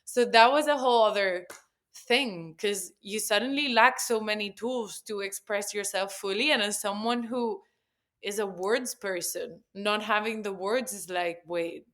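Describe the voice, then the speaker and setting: A woman's voice: medium pitch, a little slow. A woman in her thirties from Catalonia with a Spanish accent, talking candidly and expressively as a podcast guest. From Catalonia, Spain. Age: 30s. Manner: candid, expressive, sprinkles in swearing and "like". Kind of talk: casual podcast chat.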